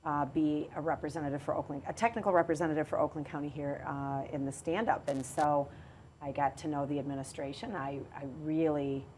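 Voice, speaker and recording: A middle-aged woman, an executive telling her career story in a podcast interview, measured and often pausing to restart. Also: deep voice, fairly even intonation, a little mellow, slight background noise. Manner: measured, with frequent hesitations and restarts. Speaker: water authority chief executive.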